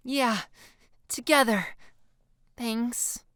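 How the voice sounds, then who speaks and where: Woman voicing a high, whiny, anxious teenage girl. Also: very slow, fairly bright tone, dry recording, studio quality. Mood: whiny, pleading. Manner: whiny, dramatic.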